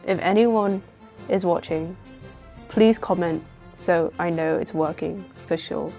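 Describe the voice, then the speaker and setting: A woman's voice: on the low side, takes it slowly, gravelly, warm tone, soft background music. A woman streamer chatting casually on a livestream while playing a story game.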